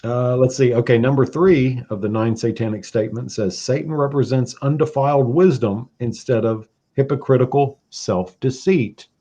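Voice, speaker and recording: A man in his forties in casual chat, playful, reading passages aloud slowly and deliberately. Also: mid-range voice, dark tone. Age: 40s. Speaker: guest.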